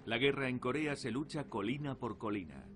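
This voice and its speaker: A man's voice: medium pitch, expressive, a little fast. A man reading scripted narration for a documentary. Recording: clearly noisy documentary soundtrack. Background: clear background noise.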